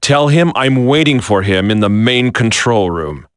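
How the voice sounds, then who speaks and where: An actor playing a grim, sorrowful renegade commander in a video game, speaking in a measured, brooding way. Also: male voice, medium pitch, animated, smooth, dry recording, clean studio sound, close to an everyday voice. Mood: cold resolve.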